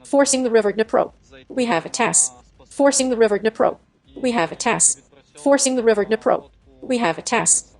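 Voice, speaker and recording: A synthetic woman's voice reading a machine-translated voice-over dub with stiff, even phrasing and odd word choices. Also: on the high side, normal speed, soft background music. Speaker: AI dub voice for every speaker.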